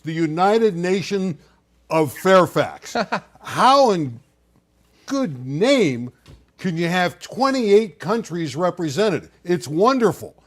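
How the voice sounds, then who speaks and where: A man hosting a sports talk show, asking guests questions in an upbeat way. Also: fairly high pitch.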